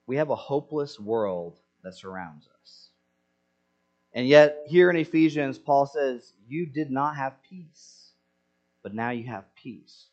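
Man, pastor, sermon, earnest, rhetorical questions, middle pitch, dull lo-fi sound. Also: expressive, takes it slowly, darkish tone. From Senegal.

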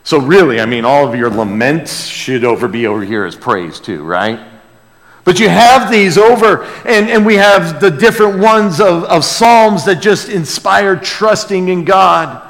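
American male pastor, slightly high-voiced, earnest, emphatic conversational sermon, long hall reverb. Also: lively intonation, unhurried. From United States. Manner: earnest, emphatic, dropping to hushed asides, rhetorical questions. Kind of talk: sermon, conversational Bible teaching.

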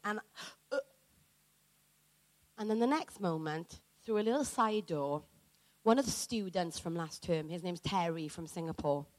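A woman preacher from Wales telling a personal story in a sermon, animated and dramatic, acting out what people said. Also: medium pitch, dry recording.

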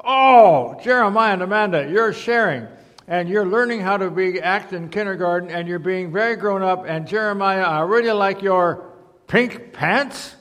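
A man's voice: sits high, moderate pace, fairly bright tone, voice pushed up. A man voicing a scolding kindergarten teacher from a storybook, lecturing in an emphatic, stretched-out way. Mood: approving. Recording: reverberant large hall.

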